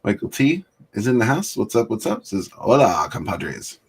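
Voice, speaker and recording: Low husky man hosting a livestream. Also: very animated, moderate pace. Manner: greets chat viewers one by one, laughs often. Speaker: livestream host. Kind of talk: casual livestream chat.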